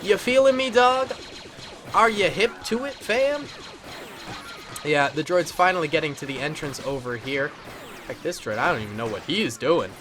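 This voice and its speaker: A man's voice: fairly high pitch, expressive, normal speed, pauses often, background noise. Stuffy-nosed man, playful game commentary. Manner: playful, slangy, chuckles often. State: stuffed-up nose from a cold. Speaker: gaming channel host. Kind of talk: casual battle simulator commentary.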